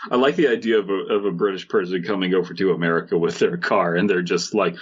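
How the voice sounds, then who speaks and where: A man's voice: low voice, steady tone, fast, slightly rough. A man co-hosting a podcast with casual, comedic banter and a sarcastic, profane way of talking. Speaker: podcast co-host. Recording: dull, lo-fi microphone sound.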